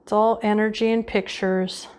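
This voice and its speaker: A woman's voice: mid-range voice, level tone, normal speed, darkish tone, clear, resonant tone. A woman leading an unscripted guided visualization meditation, giving casual instructions and often repeating her phrases.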